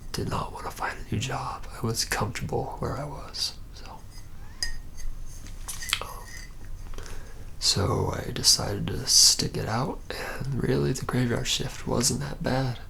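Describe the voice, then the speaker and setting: A man playing a reluctant, jaded young male vampire in a whispered ASMR roleplay, speaking haltingly with a wry, deadpan manner. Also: medium pitch, exaggerated voice. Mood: resigned, wry. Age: young adult. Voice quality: breathy whisper with gravelly creak.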